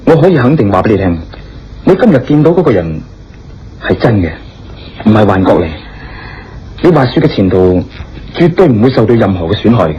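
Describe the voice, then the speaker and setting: An actor playing a concerned, tactful gentleman who speaks with careful hesitation in a radio drama. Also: on the low side, very animated, fairly quick, pauses often, slight background noise. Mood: probing, reassuring. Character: concerned, tactful gentleman probing and reassuring a stranger. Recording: dull lo-fi radio drama, music behind.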